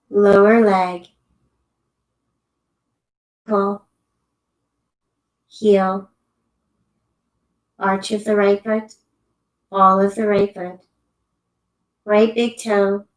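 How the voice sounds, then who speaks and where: Female yoga nidra guide: gentle, leisurely delivery, mid-pitched, roomy amateur recording. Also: little pitch movement. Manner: gentle, unhurried, soothing instruction. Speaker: meditation teacher. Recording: echoey room, amateur sound quality.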